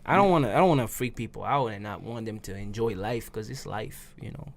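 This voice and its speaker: A man's voice: fairly low pitch, brisk, vocal fry, a little hiss or hum. A man of about thirty talking casually in a group discussion, repeating phrases for emphasis. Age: around 30. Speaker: podcast host.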